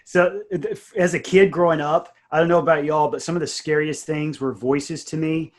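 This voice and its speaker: Male, 40s, on the higher side. An enthusiastic writer-producer swapping anecdotes in loose, friendly shop talk. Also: fairly even intonation, moderate pace. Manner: enthusiastic storyteller, quotes people as he goes. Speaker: audio drama writer and producer. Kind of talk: casual behind-the-scenes chat.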